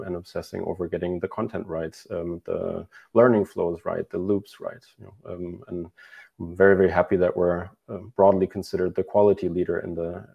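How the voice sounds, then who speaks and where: Gravelly-voiced man on a video call. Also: low-pitched, muffled recording. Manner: hesitant, many restarts and fillers. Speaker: company CEO, guest. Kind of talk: remote interview answers. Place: bright room at home, indoors.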